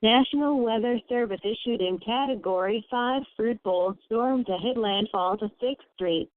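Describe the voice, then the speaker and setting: A woman's voice: a little high, normal speed. A female caller reading a mock weather bulletin on a call-in show. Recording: dry tinny phone line.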